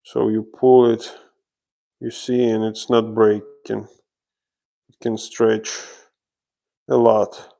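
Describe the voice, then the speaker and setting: Slow, flat-voiced man from Ukraine. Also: fairly low pitch, gravelly. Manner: slow, halting, explaining step by step. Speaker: renovation contractor. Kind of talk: livestream job-site walkthrough. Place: indoor job site.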